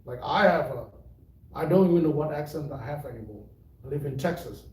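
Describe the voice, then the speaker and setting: A tai chi teacher with a mixed Malaysian and British accent, giving an unhurried, rambling livestream talk full of fillers. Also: male voice, on the high side, lively intonation, a little mellow. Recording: echoey room, voice from far off.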